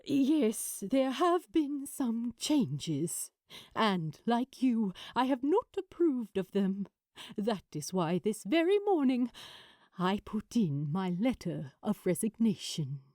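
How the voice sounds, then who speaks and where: Casting: gentle, nervous children's TV gentleman played by a woman, polite, hesitant, measured, for a storybook reading; dry, close sound. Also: fairly high pitch, very animated, dry recording. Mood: nervous, resolute. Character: gentle, anxious male children's-show voice actor, well-mannered.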